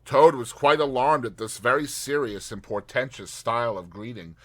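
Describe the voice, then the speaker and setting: A man's voice: medium pitch, expressive, moderate pace. A man reading a dramatized audiobook as its narrator, in scripted storytelling style.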